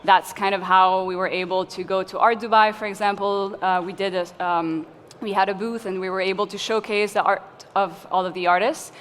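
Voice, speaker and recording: A woman's voice: mid-range voice, steady tone, moderate pace. A woman from Tunisia speaking as a curator on a conference panel, friendly and a little hesitant. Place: conference hall. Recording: long hall reverb, faint ambience.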